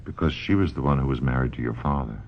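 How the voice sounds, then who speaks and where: An actor playing a calm, probing psychiatrist in a radio mystery, measured, kindly and wry. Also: male voice, extremely low pitch. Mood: gentle, probing. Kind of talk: old-time radio mystery acting. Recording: dull lo-fi radio recording, faint noise.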